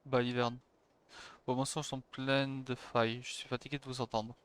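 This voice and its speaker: A man's voice: medium pitch, level tone, fairly quick, smooth. A man livestreaming a video game, commenting and reading dialogue aloud. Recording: faint background noise, clicks.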